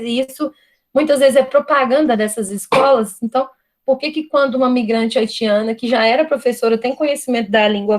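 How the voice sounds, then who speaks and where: A Brazilian woman giving an online university lecture, talking thoughtfully with frequent tag questions and restarts. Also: on the high side. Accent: Brazilian Portuguese. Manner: thoughtful, many "né?" tags and restarts. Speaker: guest lecturer.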